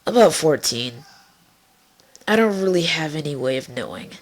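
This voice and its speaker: A woman's voice: low voice, animated, normal speed. A woman voicing a brash, wry, tough young pony in a story reading, sardonic and nonchalant. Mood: blunt, wry. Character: brash, foul-mouthed young party-loving mare, wry and tough.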